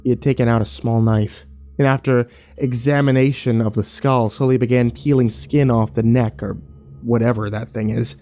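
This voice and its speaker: Male actor as grim uneasy survivor, mellow dark tone, measured horror storytelling, soft music behind. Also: mid-range voice, steady tone, close to an everyday voice. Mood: horrified. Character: grim, fearful male survivor narrating his own ordeal. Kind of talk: scripted first-person horror narration.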